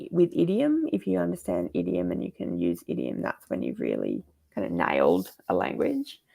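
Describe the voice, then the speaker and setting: Gravelly, mellow-voiced woman. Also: lowish voice. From Australia. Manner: chatty, laughs often, reads comments aloud. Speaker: host. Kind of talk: casual livestream chat.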